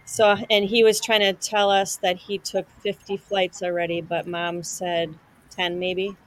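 A woman's voice: medium pitch, completely flat delivery, measured pace, a little hiss or hum. Chatty woman hosting a live show. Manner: chatty, friendly interviewer. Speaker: show host. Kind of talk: casual live-stream chat.

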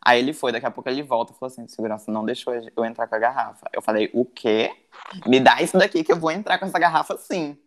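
A man's voice: medium pitch, fast talker. Brazilian man telling a chatty story. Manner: storytelling, many fillers like "tipo" and "assim". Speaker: podcast host. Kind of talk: casual chat. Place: living in Portugal.

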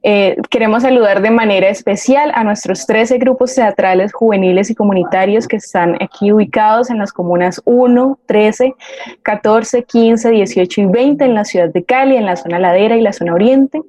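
A woman's voice: medium pitch, normal speed, dark tone, no echo. A woman with a Colombian accent co-hosting a live programme, giving greetings and reading out audience messages. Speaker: co-host reading audience comments.

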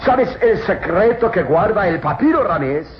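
A man's voice: high voice, big pitch swings, moderate pace. A man acting a cruel, gloating murderous villain in an old Latin American radio serial, theatrical, menacing and sneering. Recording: muffled, noisy old radio drama, roomy echo.

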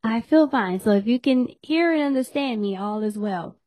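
Podcast host, woman, hoarse and losing her voice, higher-pitched, teasing chat in a dry room. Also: lively intonation, normal speed, darkish tone, dry recording. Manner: chatty, laughs often, teasing. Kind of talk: casual chat.

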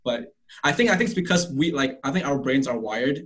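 A man's voice: mid-range voice, expressive, fairly bright tone. A young man from Malaysia hosting a casual conversation, talking with lots of fillers and restarts. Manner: rapid, filler-heavy, frequent restarts.

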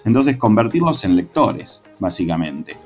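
A man's voice: fairly low pitch, sing-song, a little slow, a little mellow, faint music behind. Argentine man, passionate and reflective. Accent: Argentine Spanish. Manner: reflective, passionate, frequent restarts and hesitations. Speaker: secondary school literature teacher. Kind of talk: relaxed conversational interview.

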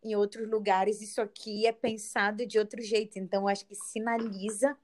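A woman's voice: medium pitch, flat delivery, normal speed, slight background noise. Reflective woman. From Belém, Brazil. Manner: reflective, explains ideas with examples. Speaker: podcast co-host. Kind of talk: casual podcast conversation.

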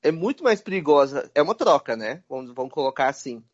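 Guy chatting away all animated, highish voice, muffled lo-fi. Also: fast. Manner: animated, addresses listener as "cara". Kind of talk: casual conversation. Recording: dull lo-fi sound.

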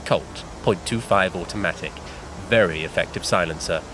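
An actor playing a breezy, menacing 1930s upper-class cad in an audio drama, with a British accent and affected politeness. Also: male voice, low voice, moderate pace, over music, lightly acted. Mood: suave menace. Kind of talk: full-cast audio drama acting. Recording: noisy outdoor street with vehicles.

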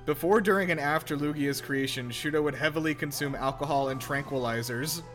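A man's voice: on the high side, brisk, fairly bright tone, faint music behind. A man hosting a casual film reaction chat with wry, teasing banter. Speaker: podcast host.

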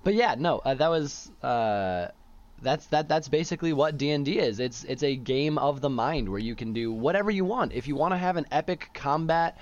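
A young man in casual chat as a podcast co-host who runs tabletop games, relaxed and rambling, with plenty of fillers and restarts. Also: fairly high pitch, moderate pace. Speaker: student podcast co-host, game master. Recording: dull lo-fi audio.

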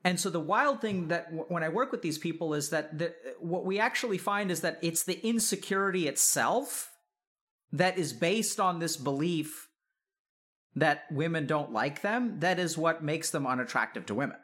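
A man with a voice pitched a little above average talks as a counselor in a casual interview. He is reflective and probing, laying out each idea step by step and putting questions to his listener. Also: animated, normal speed, a bit bright.

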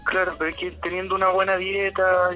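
A Chilean man with a very bright, forward tone and a slightly higher voice than most men. He chats casually and tells loose, slangy stories at an easy pace. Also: level tone, brisk, muffled recording, faint music behind. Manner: easygoing, slangy storytelling. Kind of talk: casual chat.

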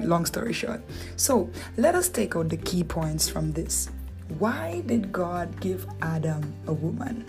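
Dominican woman, lowish voice, chatty Bible teacher, soft music bed. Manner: conversational, asks rhetorical questions, playful asides. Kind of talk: relaxed devotional teaching.

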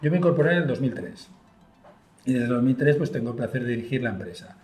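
A man speaking with a Spain Spanish accent answers interview questions with fluent enthusiasm. His voice sits at an ordinary pitch and he sprinkles in fillers as he goes. The office room around him gives a roomy echo. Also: lively intonation, fast, a little mellow, faint music behind. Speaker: guest, software company partner. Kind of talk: conversational interview.

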